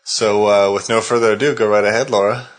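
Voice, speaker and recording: A man with an American accent and a fairly low voice, chatting loosely as a podcast host. He hesitates often, filling gaps with "uh" and restarting his sentences. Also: fairly even intonation, fairly quick. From California, United States. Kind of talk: casual conversation.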